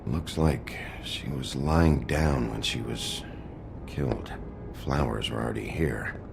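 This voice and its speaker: An actor playing a gruff, brooding male detective in a noir video game, with a gravelly voice and a terse, deadpan, authoritative delivery. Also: a bass voice, takes it slowly, over music, heavily acted. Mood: grim, analytical. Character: gruff, brooding wolf detective in human form.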